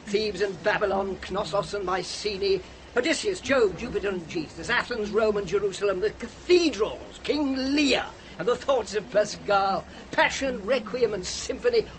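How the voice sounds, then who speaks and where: An actor playing an authoritative state leader in a radio drama, lecturing in a grandly dismissive, declamatory way. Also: male voice, high-pitched, a little hiss or hum, lightly acted, rough recording.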